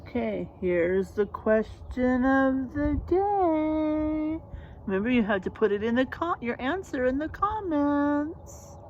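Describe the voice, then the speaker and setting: Animated, high-voiced woman reading to children. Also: slow, dull audio, a little hiss or hum. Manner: simple explanations and questions to young listeners. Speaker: children's read-aloud host. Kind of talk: animated storybook read-aloud for children.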